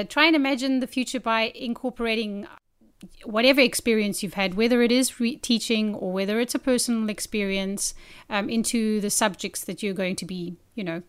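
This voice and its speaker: A woman's voice: a little high, smooth. A woman hosting an interview podcast, presenting scripted introductions and questions to her guests. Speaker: podcast host.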